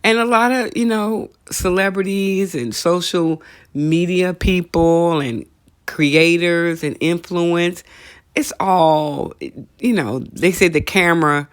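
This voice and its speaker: A woman's voice: lowish voice, slow, no room sound, clean studio sound. A middle-aged woman hosting a casual comedic talk, conversational and teasing, repeating phrases for emphasis. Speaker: podcast host.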